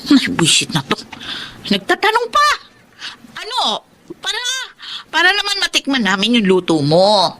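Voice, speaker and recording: A woman's voice: on the high side, huge pitch swings, normal speed, pauses often, pushed and bright, full character voice. A woman playing a bossy, spiteful housemaid in a radio drama, snappish and taunting, with a Filipino accent. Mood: bossy, irritated. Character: bossy, spiteful housemaid woman pulling rank over newcomer.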